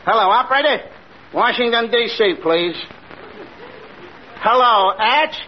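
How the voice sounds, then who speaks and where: An actor playing a slick, self-important wheeler-dealer in old-time radio comedy, smooth-talking and conspiratorial. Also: male voice, very high-pitched, expressive, a little slow. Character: slick, bluffing male wheeler-dealer and swindler. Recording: muffled noisy vintage radio recording.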